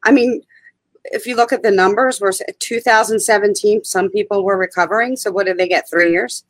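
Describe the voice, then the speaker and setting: A woman's voice: mid-range voice, brisk, dry recording, poor audio. An older woman co-hosting a casual finance chat, hesitant and filling her talk with "I mean". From Pennsylvania. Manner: hesitant, restarts and fills with "I mean". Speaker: co-host.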